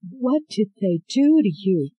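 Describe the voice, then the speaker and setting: A reader voicing a desperate young woman who speaks urgently and tearfully, far higher than the reader's own narration. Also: sing-song, slow pace, dark tone, slightly rough, dry recording, full character voice. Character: desperate young woman rescuing her grandmother.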